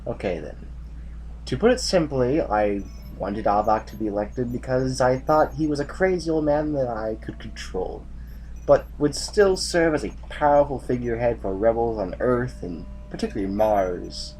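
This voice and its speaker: An actor playing a weary, exasperated army general with a stern, formal manner in an audio drama. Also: male voice, mid-range voice, lively intonation, moderate pace, a little mellow, soft background music, natural voice, poor audio. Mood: resigned.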